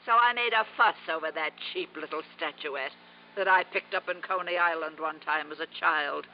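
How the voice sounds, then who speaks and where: An actress playing a wealthy, willful old aunt, eccentric and secretly sentimental, in theatrical 1950s radio drama style. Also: female voice, low-pitched, normal speed. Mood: defensive, proud. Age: older. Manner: theatrical, imperious. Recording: muffled, noisy vintage radio recording.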